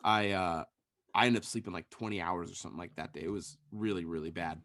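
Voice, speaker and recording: A man co-hosting a podcast in casual chat, hoarse and losing his voice, calling in remotely and rambling with restarts. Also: low voice, fast talker, fairly bright tone, no echo. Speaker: podcast co-host. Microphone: remote call connection.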